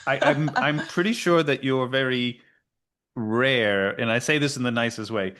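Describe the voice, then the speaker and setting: A man hosting an interview podcast, talking in a halting, conversational way. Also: medium pitch, a bit bright. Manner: halting, with fillers and restarts. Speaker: podcast host. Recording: faint guitar music behind.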